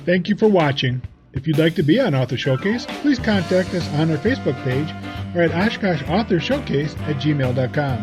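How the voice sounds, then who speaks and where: A man with a slightly high voice reads a scripted outro in announcer style. Guitar music plays clearly behind him. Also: expressive, moderate pace. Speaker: announcer for a local show.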